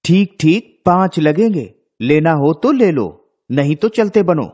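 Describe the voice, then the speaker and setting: Lady doing a grumpy stallkeeper in a kids tale, curt haggler, lowish mellow voice. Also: expressive, measured pace, husky, exaggerated voice. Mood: curt, grudging. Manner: brusque, haggling. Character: curt, grudging market stallkeeper selling ironware.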